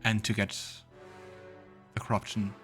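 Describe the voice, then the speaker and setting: A man's voice: on the low side, monotone, a little slow. A man giving casual let's play commentary and narrating scenes from a fantasy game. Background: game music.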